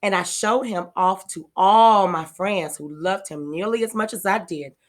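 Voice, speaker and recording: A woman voicing an earnest, affectionate little girl in a children's storybook, with expressive, wide-eyed delivery. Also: medium pitch, measured pace, close to an everyday voice. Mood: fond, eager. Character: earnest, affectionate little girl telling of her new pet. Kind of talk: children's storybook read-aloud.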